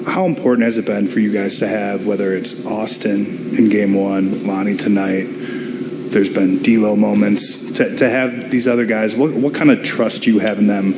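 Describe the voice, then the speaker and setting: Work through a long question to the players, restarting and hedging, in a noisy press room. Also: male voice, fairly low pitch, fairly even intonation, moderate pace. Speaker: sports reporter. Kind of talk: asking a press conference question.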